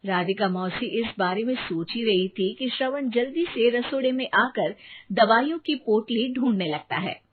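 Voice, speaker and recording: Fairly high woman narrator, phone-like sound. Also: animated, moderate pace. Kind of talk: scripted story narration. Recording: narrow phone-like sound, faint noise.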